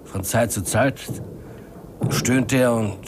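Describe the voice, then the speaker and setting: A man acting a veteran bookkeeper in an old German radio play, on a clearly noisy recording. His mid-pitched voice is measured and formal, precise in every detail and quietly resentful. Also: close to an everyday voice. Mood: measured recollection.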